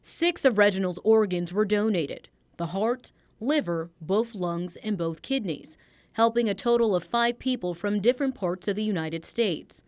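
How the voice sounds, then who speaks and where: A woman's voice: mid-range voice, normal speed, dry recording. A woman reading scripted narration for a television news report in the manner of a field reporter.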